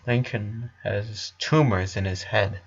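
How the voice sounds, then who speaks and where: A man voicing a distraught, grave father in a story, breaking bad news haltingly. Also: fairly low pitch, steady tone, slightly rough, full character voice. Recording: dull lo-fi recording.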